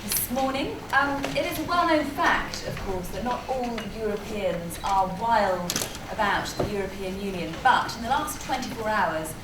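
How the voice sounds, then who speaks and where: Brisk woman moderating in a hall. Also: medium pitch, expressive. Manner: brisk, articulate presenter with light asides. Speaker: conference moderator. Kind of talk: moderating a panel conference. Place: large conference hall. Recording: echoey hall, distant mic, noisy.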